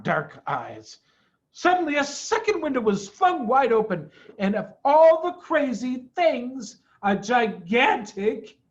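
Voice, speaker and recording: A man reading a children's storybook aloud expressively, with dramatic pauses. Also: high-pitched, fairly bright tone. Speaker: head of school reading aloud. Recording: dull, muffled sound.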